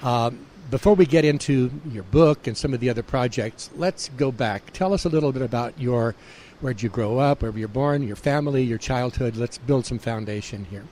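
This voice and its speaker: A man's voice: mid-range voice, a little mellow, a little hiss or hum. Man hosting a radio talk show. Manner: measured, with frequent uh fillers. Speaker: radio host. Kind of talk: radio interview.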